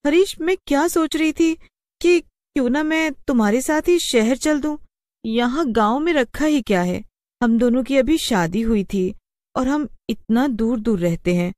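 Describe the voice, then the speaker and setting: An earnest young village wife in a cartoon, played by a woman. Her voice is lifted high and sounds close and dry, with an expressive, questioning, pleading delivery. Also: a little slow, no echo. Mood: surprised, pleading. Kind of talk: animated story dialogue.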